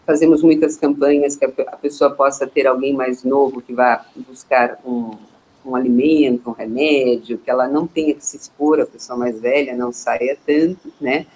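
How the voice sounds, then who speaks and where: A woman, hosting a live online interview, chatting warmly and asking questions, often saying "né". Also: extremely low pitch, steady tone, normal speed, a little hiss or hum, poor audio. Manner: warm, conversational, with frequent "né". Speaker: host, portal director.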